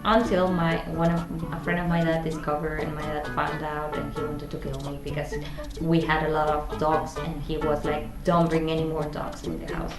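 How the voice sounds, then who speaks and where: Female vlogger, 20s, Argentine Spanish accent, lowish pitch, halting casual chat, roomy sound with guitar music. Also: level tone. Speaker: vlog host.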